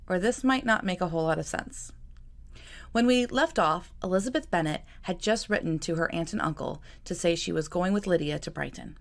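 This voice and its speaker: A woman author reading her own novel aloud in a calm, measured storytelling manner. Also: medium pitch.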